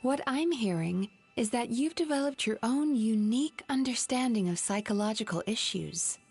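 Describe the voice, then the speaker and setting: An actress playing a composed young woman with a clinical, gentle yet firm way of speaking, in a game cutscene. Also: on the high side, lively intonation, moderate pace, slightly rough, faint music behind. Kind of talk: video game cutscene dub.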